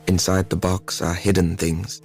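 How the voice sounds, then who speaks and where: A brooding man with dark secrets in a noir audio drama. His delivery is very low and monotone, laid over music. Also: a little slow. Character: brooding, secretive man confessing hidden shame.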